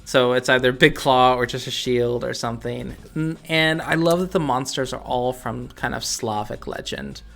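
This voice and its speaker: This is a co-host on a casual gaming podcast, speaking in a middle-pitched voice. The delivery is thoughtful and a little halting, feeling out each explanation. Faint music plays behind the talk. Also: creaky, fairly bright tone. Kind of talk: casual video game discussion.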